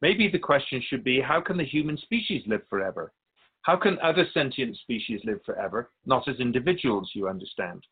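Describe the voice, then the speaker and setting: Husky male spirit guide, played by an actor, muffled line. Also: mid-range voice, sing-song. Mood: earnest, probing. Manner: measured, philosophical, gently admonishing. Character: Keeper of Souls Purpose, wise, wry otherworldly spirit guide. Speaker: character answering an interviewer in a radio drama. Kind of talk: radio drama dialogue in interview form.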